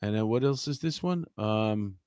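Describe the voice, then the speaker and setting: A man co-hosting a live chat show, bantering and telling stories in colloquial, animated everyday speech. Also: fairly low pitch, normal speed, clear, resonant tone, dry recording, studio quality. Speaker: talk show co-host.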